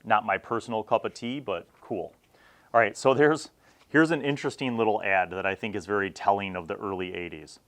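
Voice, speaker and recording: An American man from Chicago hosting a retro gaming review, talking casually and haltingly as he thinks aloud. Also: fairly low pitch, fairly bright tone. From Chicago, United States. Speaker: host of a retro gaming magazine review series. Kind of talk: casual rambling review to camera, leafing through a magazine.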